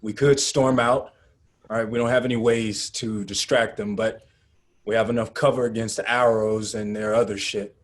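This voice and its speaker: A man playing a grim, sad activist in a dramatic table read, halting and earnest in an understated way. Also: on the low side, fairly even intonation, natural voice. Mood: tense, planning. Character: Gus, grim, sad male activist planning an escape. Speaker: actor.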